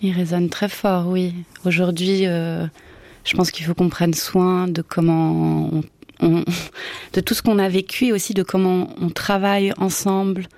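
Italian-native woman director, radio guest, lowish voice, dry studio sound. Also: little pitch movement, darkish tone, dry recording. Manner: thoughtful, halting with restarts. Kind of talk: radio interview.

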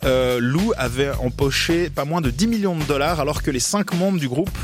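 A man's voice: fairly high pitch, moderate pace. Chatty man over studio music. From Switzerland. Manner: lively, jokey, with many fillers and restarts. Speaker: radio host. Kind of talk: radio music chronicle with banter.

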